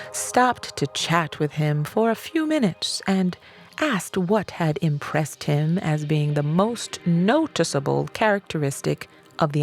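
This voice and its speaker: A woman reading a self-help audiobook in a measured, emphatic storytelling manner. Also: lowish voice, vocal fry, a little mellow. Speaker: audiobook reader. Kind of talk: scripted narration of a self-help book.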